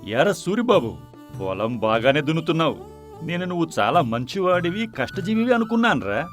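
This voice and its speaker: A man's voice: a little high, very animated, brisk. Man acting an indignant, wildly swooping village man. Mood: scornful, angry. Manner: emphatic, reproachful questioning. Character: indignant village man, blunt and scolding yet pitying. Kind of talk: dramatized moral story acting. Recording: music behind the voice.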